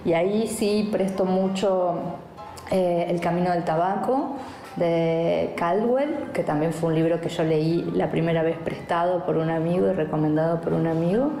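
A woman's voice: on the low side, moderate pace, dark tone, roomy sound, faint music behind. A woman writer with an Argentine accent, talking casually in an interview.

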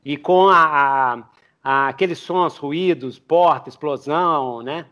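A Brazilian-accented man hosts a podcast interview in a fairly high voice. He talks informally, repeating words and adding little tags as he puts questions together. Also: takes it slowly, bright, forceful tone. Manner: informal, repetitive, peppers talk with tags and English terms. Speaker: podcast host. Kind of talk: casual interview chat.

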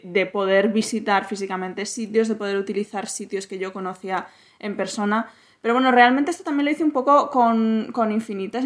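Woman writer from madrid on a podcast, lots of little pauses and restarts, thinks out loud. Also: mid-range voice. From Spain, Madrid. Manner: thoughtful, many pauses and restarts. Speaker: novelist guest. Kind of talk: podcast interview.

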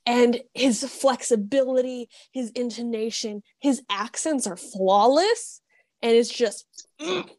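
Casting: female podcast guest, higher-pitched, dry close sound, expressive, enthusiastic casual talk. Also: slow pace, no echo. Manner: gushing, expressive.

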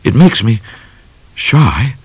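A man voicing a bashful, gallant bushranger in a storybook, earnest and excitable in his speech. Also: mid-range voice, slow pace, breathy edge, dry recording, pitched above the performer's own voice, full character voice. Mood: shy, flustered. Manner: earnest, exclamatory.

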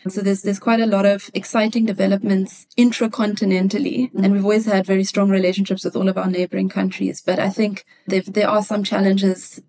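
A woman with a South African accent from Cape Town, an economist answering interview questions in a thoughtful way, often pausing to find her words. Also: medium pitch, little pitch movement, vocal fry, mellow. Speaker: guest, economist.

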